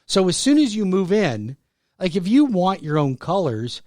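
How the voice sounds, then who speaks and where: A man in his sixties hosting a radio talk show, pitching real estate with emphatic, repetitive salesmanship. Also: big pitch swings, moderate pace, darkish tone, no room sound, studio quality. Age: 60s.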